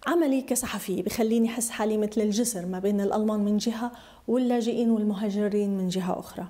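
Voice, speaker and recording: A woman's voice: mid-range voice, racing, dark tone. A middle-aged woman journalist with a Syrian Arabic accent, speaking in a documentary interview.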